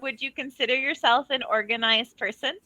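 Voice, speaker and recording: A woman's voice: high voice, steady tone, fairly quick, pushed and bright, dry recording. A woman tutoring English over a video call, chatting casually and laughing often as she tells stories. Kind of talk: casual online lesson chat.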